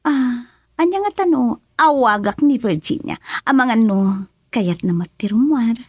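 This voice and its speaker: A woman's voice: a little high, animated, measured pace, husky, dry recording, clean studio sound. An actress playing a pampered, complaining woman of a rich household in a radio drama, with an Ilocano accent. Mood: sulky.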